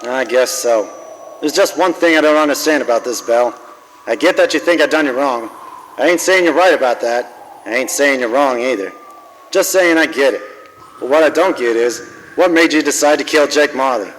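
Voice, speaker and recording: An actor playing a world-weary, wisecracking private detective in a noir radio drama, tough, slangy and wry. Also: male voice, mid-range voice, normal speed, a bit bright, a little hiss or hum, natural voice. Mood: guarded. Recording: long hall reverb, music behind.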